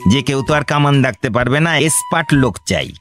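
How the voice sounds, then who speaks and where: An actor playing a wily village grain trader who flatters and pleads long-windedly in a radio comedy. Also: male voice, mid-range voice, quick pace, soft background music, natural voice. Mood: pleading, wheedling. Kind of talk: Bengali radio comedy drama acting.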